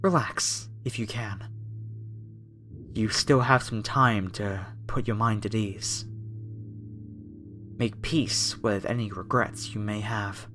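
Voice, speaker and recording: A man playing a gently menacing, fervently devout cult zealot in a horror ASMR roleplay. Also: on the low side, a little hiss or hum. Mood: eerily soothing. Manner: slow, soothing, solemn, menacingly tender.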